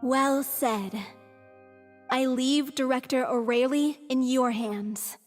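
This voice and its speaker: Earnest young actress character, high voice, gentle, formal, apologetic, game dialogue, faint music. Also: female voice, steady tone, takes it slowly. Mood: polite, earnest. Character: earnest, apologetic young female theatre performer. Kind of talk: video game voice acting.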